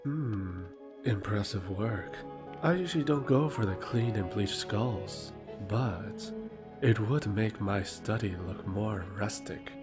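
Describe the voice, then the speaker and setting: A man's voice: lowish voice, slow pace. A man playing a haughty, needling royal emissary in a fantasy audio roleplay, formal, condescending and mocking. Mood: mocking menace. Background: music.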